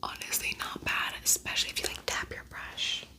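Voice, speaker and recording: A woman speaks in a breathy, unvoiced whisper, as for an ASMR tutorial. Her delivery is soft and intimate, with casual phrasing and gently repeated words. She sounds like a host guiding the listener step by step. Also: a little fast.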